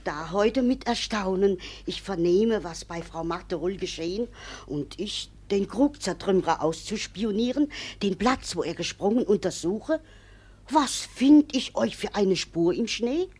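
An excitable, superstitious village witness in a classic comedy radio play. Her husky voice rises and falls dramatically as she spins out her testimony with emphatic, gossipy relish. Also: mid-range voice, unhurried. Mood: insistent, dramatic.

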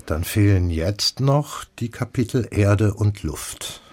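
A man's voice: fairly low pitch, animated, slow, darkish tone. A man hosting a radio programme, presenting and interviewing in a studio. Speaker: radio host.

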